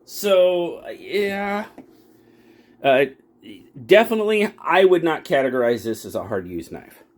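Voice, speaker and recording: A man's voice: fairly high pitch, very animated, a little slow. A man hosting his own channel and reviewing a pocket knife in a casual, folksy way, rambling and restarting his sentences often. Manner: rambling, folksy, self-correcting with frequent restarts. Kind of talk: casual product review talk.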